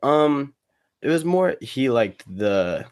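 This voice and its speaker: A man chatting casually as a podcast guest, rambling and halting, with plenty of slang. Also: medium pitch, very animated, darkish tone, clear, resonant tone, dry recording, clean studio sound. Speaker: guest, competitive cornhole player.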